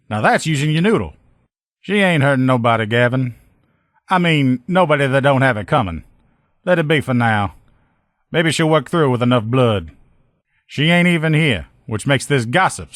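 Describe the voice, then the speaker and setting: A man playing a folksy, lower-pitched veteran lieutenant. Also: dry recording, clean studio sound, close to an everyday voice. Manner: folksy, slangy, talkative. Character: gruff-talking, good-humored veteran lieutenant and power armor mechanic. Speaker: video game character voice actor. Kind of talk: video game dialogue lines.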